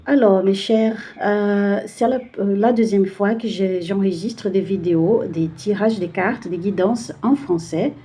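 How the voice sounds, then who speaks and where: A woman's voice: mid-range voice, unhurried, hardly any pauses, warm tone. A woman from Brazil speaking French with a Brazilian Portuguese accent, giving a casual card-reading video and often hesitating to find the right words. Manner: hesitant, searching for words with many fillers. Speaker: tarot card reader.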